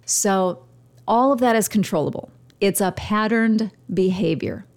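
A woman with a radio background coaches listeners on how to use their voice. She talks into a studio microphone with steady, deliberate pauses and sometimes raises or lowers her volume to show the point she is making. Her pitch sits in the middle for a woman. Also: vocal fry. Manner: demonstrates volume changes with her voice; uses dramatic pauses, radio-trained delivery. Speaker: podcast host and communication coach. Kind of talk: instructional monologue.

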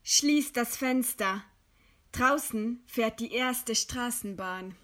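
A woman playing a proud, resigned idealist facing execution in a literary radio play, with a solemn, measured, declamatory delivery. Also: fairly high pitch, fairly bright tone. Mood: weary, curt.

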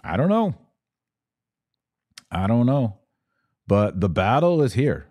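A man's voice: mid-range voice, big pitch swings, slow pace, a little mellow, no echo, studio quality. A man hosting a podcast, chatting casually to viewers with wry asides as he comments and reads out their messages. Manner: conversational, wry joking asides. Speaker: podcast host.

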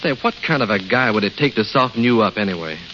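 A brash young American jock with a forceful, bright voice. He is insistent and lovesick, in classic radio drama style, on a dull, hissy old recording. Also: lowish voice, expressive, fast. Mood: insistent, wheedling. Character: brash, pushy young ex-football player, a lovesick suitor. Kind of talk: 1930s-40s radio drama acting.

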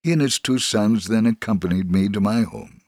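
A man giving a dramatic first-person reading of a testimony, measured and solemn. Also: fairly low pitch, a little mellow, dry recording, clean studio sound. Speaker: dramatic reader.

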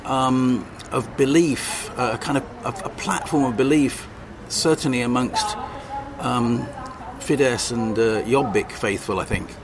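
An older man, a longtime journalist, answering interview questions hesitantly, with many pauses and restarts and a wry edge. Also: medium pitch, background noise. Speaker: journalist guest.

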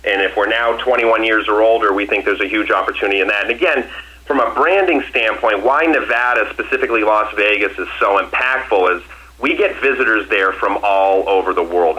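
Forceful, bright-voiced executive man. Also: lowish voice, low-quality recording. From Massachusetts. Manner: confident, emphatic, repeats and restarts phrases. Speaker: company executive. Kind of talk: earnings call Q&A answers.

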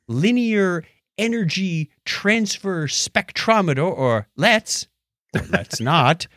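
A man's voice: sits high, lively intonation, slow, a bit bright, no room sound, clean studio sound. A man co-hosting a podcast, chatting casually through science news with jokey, wry asides. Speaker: podcast co-host. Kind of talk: casual science news chat, paraphrasing articles.

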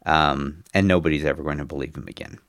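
A man with a very low, bass voice hosts a casual podcast chat while a cold is coming on. He talks haltingly, with ums and restarts. The sound is close and dry. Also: steady tone, fairly bright tone, dry recording. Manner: hesitant, many ums and restarts. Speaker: podcast host.

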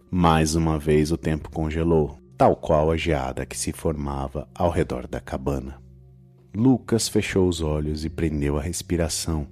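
A man's voice: extremely low pitch, darkish tone. A man narrating a scripted horror story in a dramatic storytelling style.

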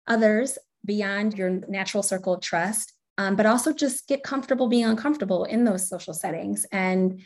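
A woman speaking casually as a podcast guest, earnest and encouraging in her answers. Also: mid-range voice. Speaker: podcast guest, corporate strategy director.

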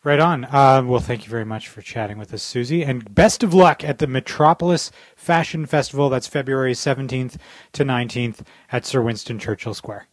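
Guy interviewing someone casually, average pitch, no room echo. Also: a little fast.

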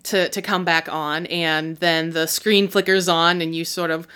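A woman narrating as game master of a tabletop role-playing game, easygoing and hesitant, with fillers and quick self-corrections. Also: fairly low pitch, flat delivery, pushed and bright, smooth.

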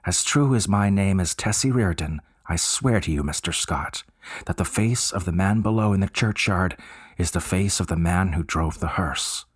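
A man's voice: low voice, normal speed, husky, dry recording, clean studio sound. A man voicing an anxious young woman who speaks in an earnest, pleading way.

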